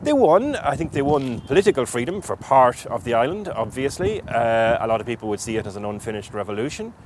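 A man, a history lecturer giving measured, articulate explanations in an interview. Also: mid-range voice, very animated. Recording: clear outdoor city and traffic noise.

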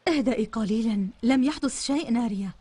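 A woman's voice: on the high side, mellow, breathy edge, dry recording. An actress playing a composed, loyal noblewoman in a dubbed historical drama, speaking with firm reassurance over faint noise. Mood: firm, chiding. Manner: reassuring, firm, measured in wording. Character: steadfast, wise, composed noblewoman loyal to her war leader.

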